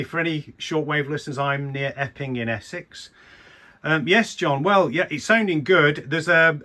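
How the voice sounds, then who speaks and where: Chatty, enthusiastic English radio ham. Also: male voice, a little high, pushed and bright. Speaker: amateur radio operator. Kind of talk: casual radio conversation. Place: small indoor radio room.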